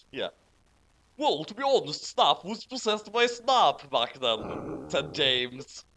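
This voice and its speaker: A higher-voiced man gives a hammy dramatic reading with amused commentary. His pitch swings widely, and he takes his time over the words, often sounding close to giggling. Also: very animated, slow pace, a bit bright. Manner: theatrical, hammy emphasis; giggly, breaking into laughter. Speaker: host reading bad fan fiction aloud.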